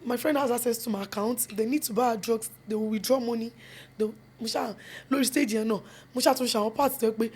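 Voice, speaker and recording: A woman's voice: fairly high pitch, fast talker, a little mellow, breathy edge. A young Nigerian woman with a Yoruba accent, a film producer and actress, giving earnest interview answers. She mixes English and Yoruba and repeats phrases for emphasis. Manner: switches between English and Yoruba mid-sentence.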